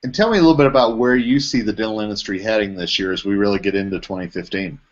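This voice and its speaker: A man's voice: lowish voice, racing. A man hosting an interview over an online video call, asking questions as the interviewer. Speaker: interviewer, trade publication editorial director. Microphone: video call microphone. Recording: dull lo-fi video call audio.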